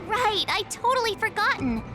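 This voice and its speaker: A woman's voice: extremely high pitch, moderate pace. An actress voicing a bubbly, chatty teenage girl adventurer in a video game. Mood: curious, relieved. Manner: bubbly, expressive. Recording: game music behind the voice.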